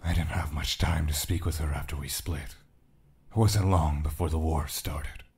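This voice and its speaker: A man playing a calm, patient ancient spirit confidant in a fantasy audio drama, measured and gently probing. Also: very deep voice. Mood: wistful.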